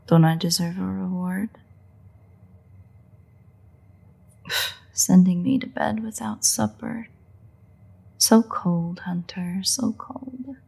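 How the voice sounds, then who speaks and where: Woman acting a creaky, teasing female vampire. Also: lowish voice, steady tone, slow, mellow, full character voice. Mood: teasing, coaxing. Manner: teasing, wry, drawling, sighing. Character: playful teasing female vampire, cocky and foul-mouthed. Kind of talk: intimate audio roleplay acting.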